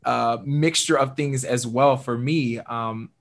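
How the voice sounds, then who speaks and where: Upbeat animated male podcast host. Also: mid-range voice, takes it slowly. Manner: animated, upbeat, many fillers. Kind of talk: conversational interview.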